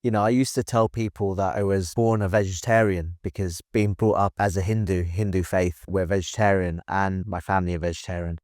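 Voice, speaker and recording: A man's voice: deep voice, fairly even intonation, a little fast, hardly any pauses, a little mellow, dry recording, studio quality. A man hosting a podcast interview, asking friendly, brief questions. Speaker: podcast host.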